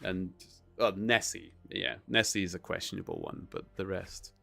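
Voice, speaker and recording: Chatty low-voiced Scottish man. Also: moderate pace, vocal fry, bright, forceful tone, soft background music. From Scotland. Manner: chatty storyteller, laughs often, reads out chat. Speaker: livestream host. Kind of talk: casual chat.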